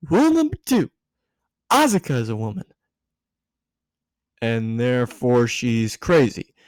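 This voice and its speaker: A man's voice: mid-range voice, huge pitch swings, unhurried, no echo. A man voicing a tipsy, giggly, bossy woman handing out rambling, emphatic love advice in a fan fiction reading. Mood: loud, tipsy.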